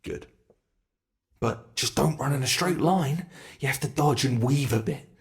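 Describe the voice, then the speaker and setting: A man voicing a sadistic, playful ancient monster hunting human prey in an ASMR roleplay, taunting theatrically and slipping into breathy whispers. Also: mid-range voice, a little slow. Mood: excited taunting. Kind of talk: ASMR roleplay, scripted monologue.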